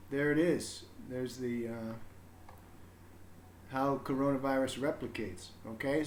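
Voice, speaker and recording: A man's voice: medium pitch, slight background noise. A man talking casually on a livestream, explaining things in a rambling way as he thinks aloud. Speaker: live streamer reading to children. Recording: roomy, echoing room sound.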